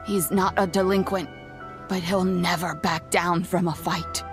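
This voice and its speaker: An actress voicing a kindly elderly grandmother in a game, unhurried, fond and reassuring, proud of the young man she raised. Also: on the low side, fairly even intonation, soft background music, natural voice. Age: older. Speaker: village elder caring for children. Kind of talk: game cutscene voice acting.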